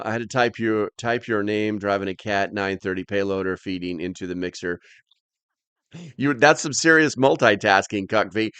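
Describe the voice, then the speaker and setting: A man's voice: fairly low pitch, fairly bright tone, smooth, no room sound. A man hosting a live stream, chatting casually as he reads and answers comments in a rambling, plain-spoken, slightly grumbly way.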